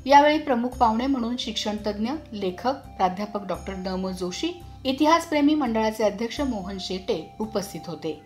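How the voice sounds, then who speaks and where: Formal woman news reader, higher voice. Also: expressive, darkish tone, faint music behind. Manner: formal, measured reading. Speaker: radio newsreader. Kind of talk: scripted news narration.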